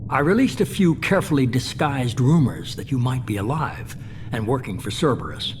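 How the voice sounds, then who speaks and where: An actor playing a ruthless, calculating leader of a secret organization in a game drama. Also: male voice, mid-range voice, lively intonation, normal speed, slight background noise, close to an everyday voice. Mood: calculating, determined. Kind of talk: dramatic video game dialogue.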